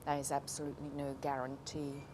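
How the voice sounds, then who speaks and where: A middle-aged woman with a Hungarian accent tells her own story casually and haltingly, thinking aloud as a documentary interviewee. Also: low voice, monotone, background noise. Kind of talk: casual personal storytelling to camera.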